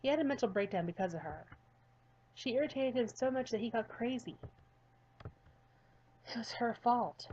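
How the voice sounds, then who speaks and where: A woman's voice: fairly high pitch, moderate pace, pauses often, dark tone, dull audio, a little hiss or hum. A woman narrating a visual novel aloud in an earnest, slightly stumbling way. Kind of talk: storybook-style narration.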